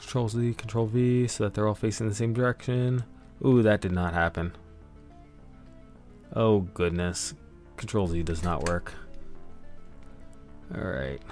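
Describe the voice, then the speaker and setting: A man's voice: lowish voice, steady tone, unhurried, pauses often, a little mellow, soft background music. A man streaming his game prep in a casual way, rambling to himself as he works. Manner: rambling self-talk while working. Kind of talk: casual thinking-aloud stream commentary.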